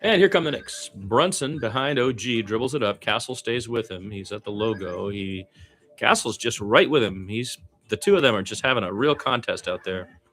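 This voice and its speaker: A man's voice: on the low side, lively intonation, normal speed, fairly bright tone. A man doing amateur radio-style basketball play-by-play, conversational, with his own opinions mixed in.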